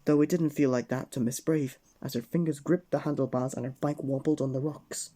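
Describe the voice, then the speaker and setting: A man reading a children's storybook aloud at bedtime, gently addressing the young listener. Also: mid-range voice, fairly even intonation, brisk.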